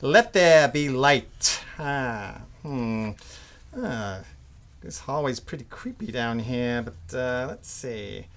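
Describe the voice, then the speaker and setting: Jumpy, bright-voiced young studio newcomer. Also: male voice, mid-range voice, expressive, takes it slowly. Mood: nervous muttering. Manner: folksy, nervous, muttering to himself, exclaiming. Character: eager, jumpy young male new radio studio employee. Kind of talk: live old-time radio drama acting. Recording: live stage recording with faint noise.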